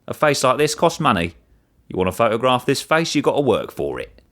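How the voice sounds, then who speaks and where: A man's voice: medium pitch, very animated, brisk, a bit bright, voice pushed up. A man voicing a blunt, bossy old street character in a short story. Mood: gruff, challenging. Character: brusque, streetwise old man with a red nose.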